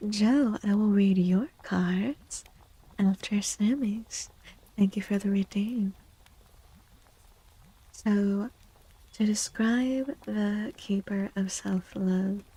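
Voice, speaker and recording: A soft-spoken woman in a gentle, half-whispered ASMR style, speaking deliberately with pauses as a livestream tarot reader. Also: medium pitch, husky, low-quality recording.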